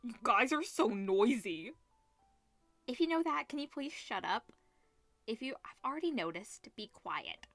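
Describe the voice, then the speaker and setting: A woman's voice: on the high side, animated, measured pace, fairly bright tone, no room sound, soft background music, heavily acted. A woman voicing an aloof, bookish schoolboy with a curt, annoyed manner in a visual novel. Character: aloof, irritable bookish schoolboy, teacher's pet.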